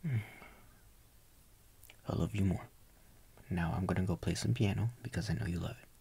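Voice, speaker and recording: A young man playing a tender, doting boyfriend in a soft ASMR roleplay, gentle, coaxing and reassuring, with a breathy voice often near a whisper. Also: sits low, normal speed, slight background noise. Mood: tender, soothing.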